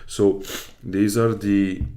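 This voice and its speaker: A man's voice: fairly low pitch, level tone, slow, mellow. A man from Bulgaria livestreaming his study session, casually reading aloud and hesitantly thinking through it as he goes. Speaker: live streamer learning web development.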